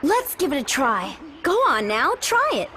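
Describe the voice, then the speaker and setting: A woman voicing a spirited, confident young female adventurer in a video game, brisk and take-charge. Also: high voice, wildly swooping pitch, slight background noise, heavily acted. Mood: eager. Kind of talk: video game cutscene acting. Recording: loud music behind the voice.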